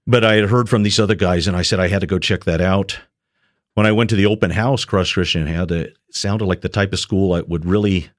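A middle-aged man who is a retired police officer, giving an earnest personal testimony on a radio show in a measured, halting way. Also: on the low side, no echo, studio quality. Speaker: shepherd school student, retired police officer.

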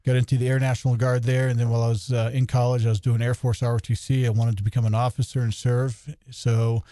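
Male radio guest, 50s, ex-military, dark mellow tone, dry studio sound, relaxed and halting. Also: mid-range voice, dry recording. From New Hampshire. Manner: conversational, many uh fillers, retired officer's plain delivery. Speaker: county commissioner, guest. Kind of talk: radio interview, telling his life story.

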